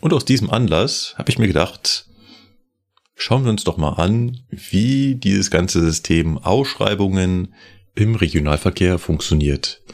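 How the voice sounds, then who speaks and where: A man hosting a podcast, introducing the topic in a measured, explanatory way. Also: low voice. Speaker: podcast host.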